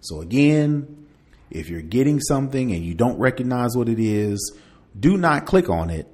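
A man's voice: mid-range voice, big pitch swings, normal speed, a little mellow. A man hosting a podcast, chatting casually through tech news and partly reading aloud, in a conversational way. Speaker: podcast host.

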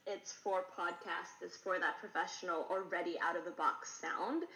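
A woman chatting casually on a call, agreeable and quick to chime in. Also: fairly low pitch, fairly even intonation, pushed and bright. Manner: agreeable, chimes in with yeahs and teasing. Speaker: guest on a call. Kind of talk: casual chat. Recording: echoey room.

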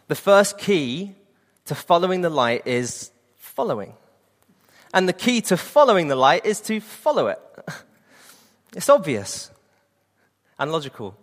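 A man's voice: on the high side, in a large hall. A man in his late twenties preaching a sermon and sharing an earnest personal testimony. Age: 20s.

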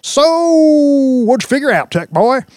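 Guy doing a big dumb cocky deputy, loud bellow, pitched up, swaggering all over, audiobook character. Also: lively intonation, moderate pace, no room sound, clean studio sound. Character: stocky boorish deputy, cocky male meathead.

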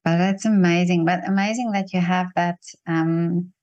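A woman's voice: fairly low pitch, steady tone, normal speed, darkish tone, highs cut off. A woman interviewing in a casual, attentive way, with short agreeing replies. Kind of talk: casual chat.

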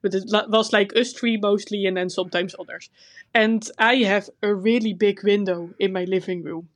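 A woman with a Dutch accent co-hosting a casual podcast, telling a story in a relaxed, self-conscious way with frequent laughs. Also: mid-range voice, moderate pace. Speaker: podcast co-host. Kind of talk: casual chat.

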